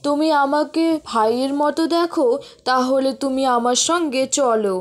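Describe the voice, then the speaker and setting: A woman voicing a loyal, generous magical crow in a cartoon tale, measured and earnest. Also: high-pitched.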